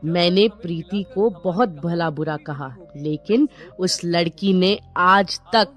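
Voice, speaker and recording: A woman playing a middle-aged, sharp-tongued Indian mother-in-law with theatrical delivery in a cartoon story. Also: lowish voice, slow pace. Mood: remorseful, tender. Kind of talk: Hindi animated moral story dialogue. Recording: music bed behind the voice.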